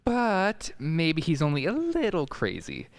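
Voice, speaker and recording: Woman doing a fretful store manager guy for radio drama, low voice, really animated and exclaiming. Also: unhurried, full character voice. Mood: persuading, fretful. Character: excitable, wheedling male department store manager. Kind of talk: radio play acting.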